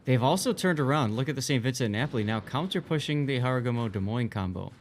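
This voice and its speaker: Understated male esports co-caster, mid-pitched. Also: lively intonation, fast, a little hiss or hum. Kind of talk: live game commentary.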